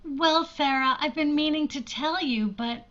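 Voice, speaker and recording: A woman's voice: sits high, a little slow, crowd noise. A woman acting in a 1940s-style radio drama as a flustered, lovestruck schoolteacher in her mid-thirties, hesitant and fretful, on a slightly muffled recording. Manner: hesitant, fretful, breathlessly apologetic.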